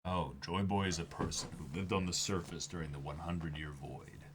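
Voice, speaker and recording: A man doing a dramatic read-aloud mixed with casual reactions, theatrical and excitable as co-host of a stream. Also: low voice, brisk, noisy background.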